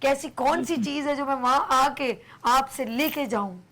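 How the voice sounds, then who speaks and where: Pakistani lady morning show host, high voice, teasing and chatty, bit of a sore throat. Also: a little slow, a bit bright. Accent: Pakistani Urdu. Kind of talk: casual live TV chat.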